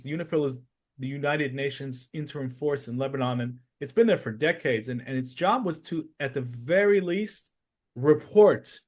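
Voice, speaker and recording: A man discussing politics analytically as a podcast host, explaining his points step by step with emphasis. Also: fairly high pitch.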